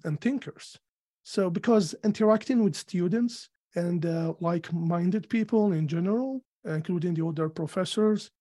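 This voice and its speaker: Algerian-accented man, mellow voice. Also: high-pitched. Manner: measured and thoughtful, with many fillers. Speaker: guest. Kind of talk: casual interview.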